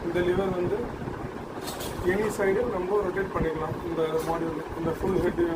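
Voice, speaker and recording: Male product demonstrator, high register, little intonation, halting unscripted explanation with English terms, noisy recording. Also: fairly even intonation. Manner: halting, repeats words while explaining.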